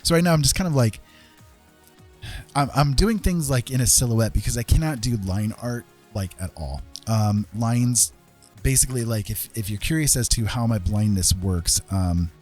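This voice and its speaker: Low, husky man chatting on stream. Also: a little mellow. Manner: rambling, self-deprecating, folksy joking. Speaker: livestream host and digital artist. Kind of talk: casual livestream chat while drawing.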